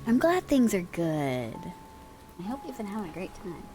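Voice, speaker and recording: A woman's voice: mid-range voice, sing-song, normal speed, a little mellow, soft background music. A young woman streamer chatting casually with her viewers in a slangy, laid-back way, using pet names, on a close microphone.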